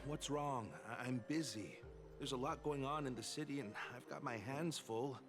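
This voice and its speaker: A man's voice: mid-range voice, normal speed, lightly acted. An actor playing a busy city worker in a video game, a brusque man with a flippant, offhand way of talking. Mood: harried. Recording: game audio with music behind.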